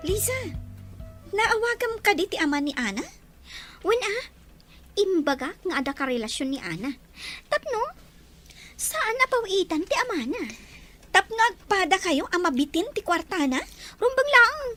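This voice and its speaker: A woman's voice: very high voice, big pitch swings, moderate pace, long pauses, sharp tone, faint music behind, heavily acted. A woman playing a jealous, scheming young schoolgirl in an Ilocano radio drama, emotional and pleading, with an Ilocano accent.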